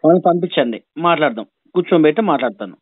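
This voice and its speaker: Very fast, high-pitched man on phone line. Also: lively intonation, slightly rough, no room sound. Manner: sarcastic, insistent, repeats himself. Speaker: borrower on a debt collection call. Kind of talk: argumentative phone conversation. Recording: thin, band-limited phone call recording.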